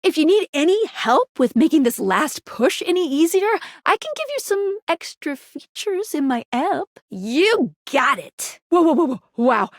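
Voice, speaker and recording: Video game guide character: bubbly, quirky talking object, very high and animated, playful. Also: female voice, a little slow, hardly any pauses, dry recording, clean studio sound. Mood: excited. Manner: perky, playful, expressive.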